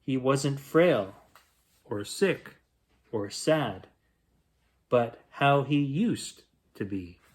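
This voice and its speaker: A man reading a rhyming picture book aloud as a bedtime story, in a gentle, expressive storytelling manner. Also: mid-range voice, very slow, long pauses. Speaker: children's bedtime story reader.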